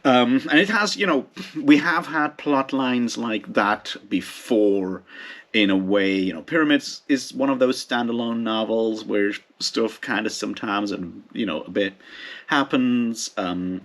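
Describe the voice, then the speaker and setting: A man's voice: medium pitch, unhurried, a bit bright. A man giving a casual, unscripted book review as a host, speaking informally in rambling, hesitant phrases.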